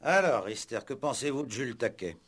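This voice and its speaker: Husky, insistent police superintendent. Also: male voice, mid-range voice, sing-song, brisk, fairly bright tone. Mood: brisk, emphatic. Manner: questioning, insistent, repeats himself. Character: brisk, probing, impatient male police superintendent. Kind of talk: 1960s French radio play acting. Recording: 1960s radio drama recording.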